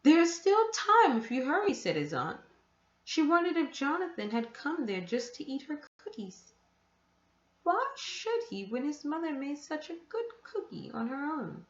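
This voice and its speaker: Slow, animated woman reading in echoey room. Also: high voice. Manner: deliberate, animated storytelling. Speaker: storyteller reading a children's book aloud. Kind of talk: expressive children's read-aloud narration. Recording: echoey room, dull muffled sound.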